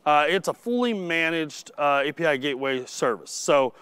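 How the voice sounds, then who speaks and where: A middle-aged man who is losing his voice gives a technical conference talk, clearing his throat and cracking self-deprecating jokes with the audience. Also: fairly high pitch, expressive, normal speed, fairly bright tone, no room sound. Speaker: conference speaker.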